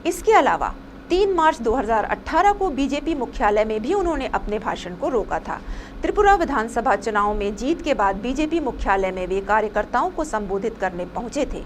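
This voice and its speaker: A woman's voice: a little high, big pitch swings, moderate pace. A woman reading a scripted news report as a presenter, with some noise behind her.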